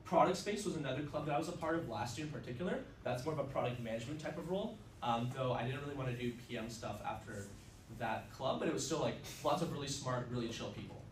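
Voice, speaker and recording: Fast-talking young man in an echoey classroom. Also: mid-range voice. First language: Russian. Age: young adult. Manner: upbeat, chatty, many fillers. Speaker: student club presenter. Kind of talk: casual presentation. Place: classroom, indoors, mid-sized. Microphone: far camera. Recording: roomy, distant, faint ambient noise.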